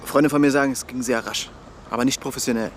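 An actor playing a wary young restaurant worker in a radio play, speaking in a hushed, guarded way. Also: male voice, medium pitch, steady tone, quick pace, close to an everyday voice. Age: young adult. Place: outdoor yard corner near building work. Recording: clear outdoor street and traffic noise.

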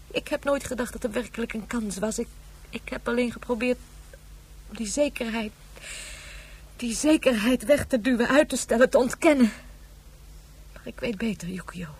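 A woman playing a grieving, reproachful mother in a radio play, with dramatic, halting, emphatic delivery. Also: on the high side, darkish tone, heavily acted. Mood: grieving, despairing. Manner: dramatic, halting, emphatic, drops to breathy whispers. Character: despairing, reproachful grieving mother of a lost child.

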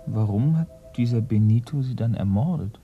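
An actor playing a dutiful, matter-of-fact detective's assistant in a radio crime play, measured and methodical, slightly hesitant. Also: male voice, mid-range voice, lively intonation, phone-quality sound, faint music behind, natural voice. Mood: puzzled, thoughtful. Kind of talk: German radio play acting.

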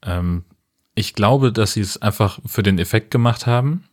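Male podcast co-host, slightly low voice, conversational film critique, halting as he reasons. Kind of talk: casual film-review chat.

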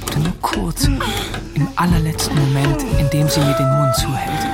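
An actor playing an earnest seventeen-year-old farmhand and hopeful suitor in a naturalistic radio play, plain-spoken and coaxing. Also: male voice, medium pitch, natural voice. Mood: subdued, reflective. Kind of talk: naturalistic radio play acting with first-person narration.

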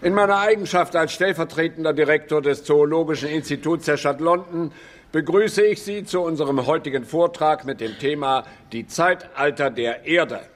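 Man acting a pompous director, slightly high voice. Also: lightly acted. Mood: formal. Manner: formal, pompous, deliberate articulation. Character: pompous, stern male institute director chairing a lecture. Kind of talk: theatrical German radio drama acting.

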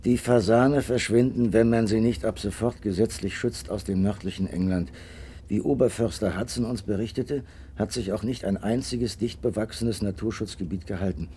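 An actor playing a detective's loyal friend in a radio drama, earnest, puzzled and deliberate. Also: male voice, lowish voice, warm tone, a little hiss or hum, lightly acted. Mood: thoughtful, puzzled.

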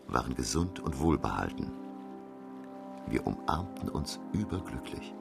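An actor playing a grave, shaken professor, narrating his story in a measured, brooding way for a radio play. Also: rumbling low, gravelly, full character voice. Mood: reflective. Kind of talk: dramatic first-person radio play narration. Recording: music behind the voice. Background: music.

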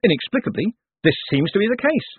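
A man voicing a brash, glib showman and con artist in an audiobook, with loud, cocky patter. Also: high voice, very animated, fast, husky, pitched above the performer's own voice, heavily acted. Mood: glib, teasing. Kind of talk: audiobook character voice. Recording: thin phone-like narrow-band audio.

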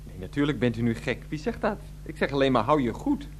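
A man's voice: medium pitch, very animated, quick pace, background noise, natural voice. An actor playing an exasperated adult son in a radio play, pleading and placating on the phone with his mother. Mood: placating, irritated. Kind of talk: radio play acting, one-sided phone conversation.

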